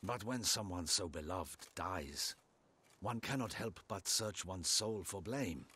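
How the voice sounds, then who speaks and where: A loyal, honour-bound knight in a fantasy video game. His low voice is heavily husky and raspy, and he speaks slowly. The phrasing is formal and courtly, solemn throughout. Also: expressive, pushed and bright, full character voice. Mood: mournful.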